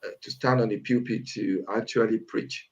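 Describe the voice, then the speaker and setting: A man from Africa speaking as a guest on a church panel, talking hesitantly with many pauses and fillers. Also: on the low side, steady tone, a little mellow, husky, dull audio. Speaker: panel guest, lay preacher.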